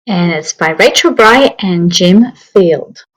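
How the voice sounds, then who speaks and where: A woman reading a picture book aloud to young children, with expressive, deliberate, dramatic phrasing. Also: lowish voice, rough recording.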